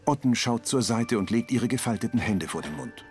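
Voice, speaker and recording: A man reading scripted audio description for a TV series in a measured, matter-of-fact way. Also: mid-range voice, animated, a little mellow. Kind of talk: scripted narration of a TV crime series. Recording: music behind the voice.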